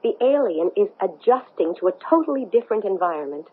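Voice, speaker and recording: A woman's voice: medium pitch, sing-song, fast, husky. A woman playing a desperate, insistent astronaut possessed by an alien, urgent and pleading, in a 1970s radio drama. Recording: muffled, narrow-band old radio.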